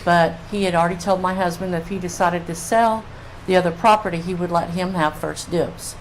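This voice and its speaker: A woman's voice: lowish voice, fairly even intonation, brisk, rough recording. An older woman giving informal public testimony as a resident. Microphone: public comment microphone. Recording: faint room noise on meeting mic.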